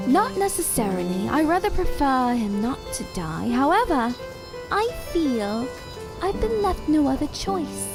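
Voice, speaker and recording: A woman's voice: high voice, very animated, unhurried, full character voice. A woman playing a scheming, commanding living doll in an audio drama, sweetly menacing with formal, theatrical phrasing. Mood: coaxing, scheming. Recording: music under the voice.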